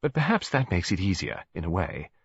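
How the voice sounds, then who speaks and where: A man's voice: deep voice, big pitch swings, brisk, breathy edge, no echo, highs cut off, full character voice. A man voicing a genteel, practical English lady near seventy, who chatters politely in an audiobook story. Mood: hesitant, confiding. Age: older. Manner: chatty, rambling, polite tag questions.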